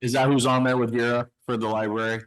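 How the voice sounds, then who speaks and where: A man's voice: medium pitch, level tone, fast talker, smooth. A man on a town select board, chiming in with brief affirmations and light teasing during a meeting. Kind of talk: meeting discussion, casual.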